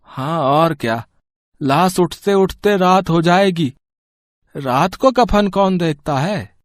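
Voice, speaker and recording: Sullen, fairly high-voiced young villager acted by a man. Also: takes it slowly, no room sound, studio quality. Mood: indifferent. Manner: grumbling, sulky. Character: sullen, irritable, selfish young village husband, son. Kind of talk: acted Hindi audio story dialogue.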